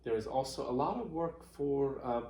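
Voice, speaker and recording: A middle-aged man from Iran answering interview questions in a thoughtful, unhurried way, with many fillers and restarts. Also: medium pitch, animated, mellow. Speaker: interviewee, marketing professional. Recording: roomy echoey room.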